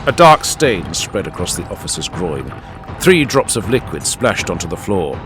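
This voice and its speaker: A man's voice: deep voice, big pitch swings, normal speed. A man reading dramatic scripted narration as an audiobook narrator. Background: music and added sound effects.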